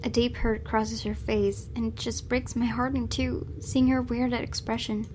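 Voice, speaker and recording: A woman's voice: a little high, flat delivery, measured pace, a little mellow, slight background noise. A woman hosting a let's play, reading a visual novel's narration aloud with drama.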